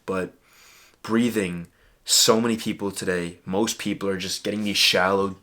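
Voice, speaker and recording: A young man talking straight to camera about fitness, earnest and emphatic, leaning on "bro" and "literally." His voice sits low and stays fairly level in pitch, with a bright, forward edge. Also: steady tone, moderate pace. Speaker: fitness vlogger. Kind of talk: casual motivational talk to camera.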